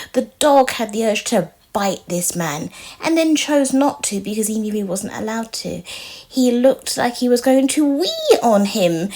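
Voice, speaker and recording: A quiet, soft-voiced woman speaks in a fairly high register and tells a personal story straight to camera. Her delivery is earnest and pleading. Now and then she sinks toward a whisper. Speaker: storytelling vlogger. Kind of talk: casual storytime monologue.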